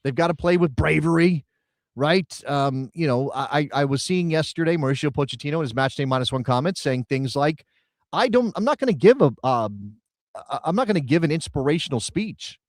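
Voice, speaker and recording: A man co-hosting a casual soccer talk show, emphatic and animated, often repeating words as he builds a point. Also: fairly high pitch, brisk, no echo, clean studio sound. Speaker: co-host and radio broadcaster.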